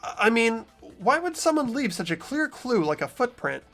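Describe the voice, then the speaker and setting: An earnest young detective, acted by a performer in a fan-voiced game drama. The voice is mid-pitched and very lively, rising and falling as he presses for the truth. Light music plays behind. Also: normal speed. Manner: earnest, inquisitive.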